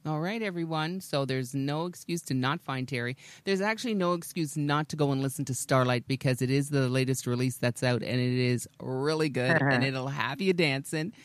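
A woman's voice: very deep voice, normal speed, few pauses, no room sound. A woman hosts a radio interview in an easygoing, chatty way, laughing readily with her guest. Her voice is recorded close and dry, with no room sound. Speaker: radio show host.

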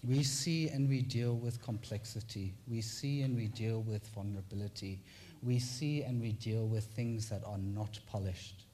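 A man's voice: fairly low pitch, dark tone, slightly rough. Formal South African man presenting. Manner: measured and formal, with rhetorical repetition. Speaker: tax clinic presenter. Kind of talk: conference presentation.

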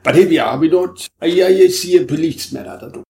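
A man voicing an eccentric, self-assured foreign detective in an audiobook, who teases in quaint, halting non-native phrasing. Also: on the high side, warm tone, echoey room, voice pushed up, close to an everyday voice. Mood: halting, evasive.

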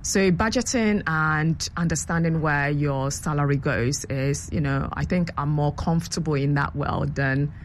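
A woman's voice: sits low, unhurried, few pauses, slight background noise. A woman who grew up in London, a guest on a radio show, explaining her app in a chatty way with many fillers. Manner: chatty, explanatory, many ums and you-knows. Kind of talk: radio interview answers.

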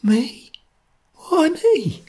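A narrator voicing a terrified young conspirator who stammers and squeaks in panic, in a storybook reading. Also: big pitch swings, extremely slow, darkish tone, full character voice. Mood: panicked. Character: terrified young Cockney conspirator, shrill and shaky.

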